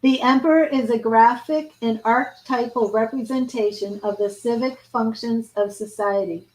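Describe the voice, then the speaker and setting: Female tarot reader, hoarse and fading voice, fairly high, mixes read-aloud card text with loose chat. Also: darkish tone, rough recording. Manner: reads card meanings aloud, then chats and restarts. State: hoarse, losing her voice. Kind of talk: live tarot reading, partly read aloud.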